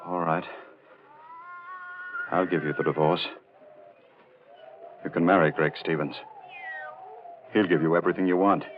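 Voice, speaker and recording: An actor playing a bitter, obsessive sculptor and menacing husband, intense and deliberate, in 1940s radio melodrama style. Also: male voice, deep voice, fairly even intonation. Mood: grimly determined. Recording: dull, faintly noisy old radio recording.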